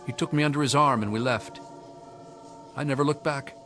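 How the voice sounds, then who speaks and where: A man's voice: mid-range voice, brisk, pushed and bright, lightly acted. An actor playing a troubled, orphaned young man in a video game, speaking in a plain, reflective way. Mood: somber, confessional.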